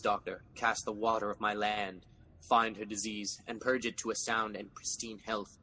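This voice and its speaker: An actor playing an embittered, defiant tyrant king in a Shakespearean audio drama, declaiming between hushed brooding and roars. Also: fairly low pitch, slight background noise.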